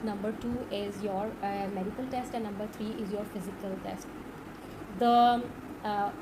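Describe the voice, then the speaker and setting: A woman with a Pakistani accent and Urdu as her first language, teaching an online course in an earnest, unhurried way with many filled pauses. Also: on the high side. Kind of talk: direct-to-camera teaching talk. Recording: audible background noise.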